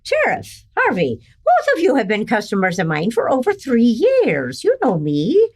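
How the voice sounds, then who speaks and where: A woman's voice: a little high, huge pitch swings, normal speed. A woman playing a sly, folksy older outlaw leader who poses as a churchgoer in an old-time western radio drama. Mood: mock-innocent indignation. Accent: Irish brogue, later Texan. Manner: indignant, theatrical, folksy.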